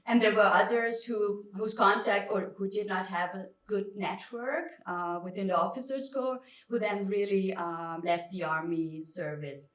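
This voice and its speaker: A woman's voice: mid-range voice, unhurried, hardly any pauses. Woman historian giving a talk, stop-start and emphatic, roomy echo, mic kinda far off. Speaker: academic lecturer, historian. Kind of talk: public academic lecture, semi-spontaneous. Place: indoor lecture room. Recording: echoey room, distant microphone.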